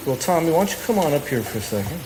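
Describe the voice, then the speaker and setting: A man hosting a live radio show on stage, introducing and interviewing guests with halting, repetitive phrasing. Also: fairly high pitch, sing-song, loud music behind the voice, poor audio. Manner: halting, hesitant phrasing with repeated words.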